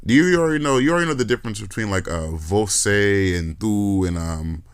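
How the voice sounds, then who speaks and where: Animated male podcast host. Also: fairly low pitch, fairly quick, smooth. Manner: animated, many fillers and restarts. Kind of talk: casual interview chat.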